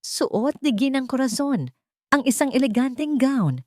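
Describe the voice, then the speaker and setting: A woman narrating a scripted romantic drama in the first person, with solemn, measured storytelling. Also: high voice, sing-song, mellow, dry recording, clean studio sound.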